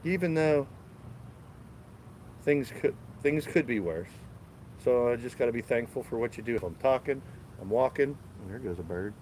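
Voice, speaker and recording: A man's voice: medium pitch, animated, normal speed, long pauses, a little mellow. Casual male streamer, congested, rambling outdoor garden talk on a phone mic, faint street noise behind. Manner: rambling, laid-back, talks to chat while walking. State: stuffed up. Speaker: live stream host. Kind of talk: casual live-stream chat. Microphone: phone camera held while walking.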